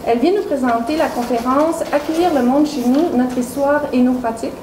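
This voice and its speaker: High-voiced woman introducing, in a noisy echoey room. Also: brisk. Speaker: event presenter introducing a speaker. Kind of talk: formal spoken introduction. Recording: roomy, echoing sound with heavy noise.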